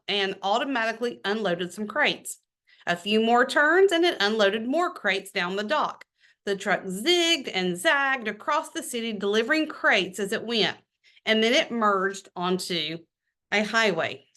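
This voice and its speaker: A woman hosting a children's read-aloud, expressive and enthusiastic, talking straight to her young listeners in a casual way. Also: mid-range voice. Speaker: host of a children's book read-aloud show. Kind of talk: reading a children's novel aloud with casual chatty asides.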